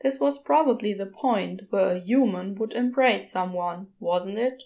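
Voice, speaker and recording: A woman's voice: mid-range voice, unhurried, darkish tone. A woman reading a story aloud as scripted fiction narration. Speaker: podfic reader.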